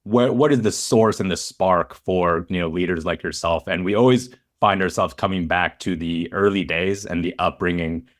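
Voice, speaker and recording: A man's voice: low voice, moderate pace, few pauses. A man speaking as a podcast host and interviewer. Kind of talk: casual podcast interview with scripted intro.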